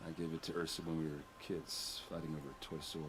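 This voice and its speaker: Very deep, gruff young warrior. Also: male voice, level tone, fast talker. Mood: earnest. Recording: game audio under a stream, with background noise.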